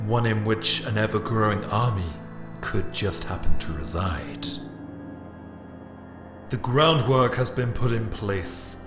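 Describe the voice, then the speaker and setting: A man performs a scheming emperor villain in an audio drama, grandiose and menacing. His low voice rolls out portentous speeches of conquest. It echoes under prominent music. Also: close to an everyday voice. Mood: gloating. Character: scheming, grandiose male emperor villain, hungry for ultimate power. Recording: echoing voice under loud music.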